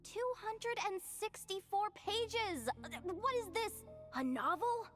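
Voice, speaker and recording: A woman voicing an upbeat young adventurer in a video game, lively and teasing with sudden exclamations. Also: very high-pitched, slow pace, faint music behind, exaggerated voice. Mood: reading.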